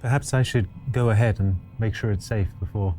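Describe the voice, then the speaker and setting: A man's voice: lowish voice, brisk, warm tone, faint music behind. A man playing a tabletop roleplaying game, speaking casually and jokingly in character.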